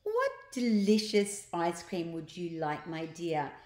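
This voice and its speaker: A woman's voice: lowish voice, sing-song, slow pace, a little mellow. A woman voicing a greedy, two-faced female ice cream seller in a children's storybook, by turns sugary and nasty. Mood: sugary nice. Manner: sugary when nice, barking when nasty. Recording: roomy home recording.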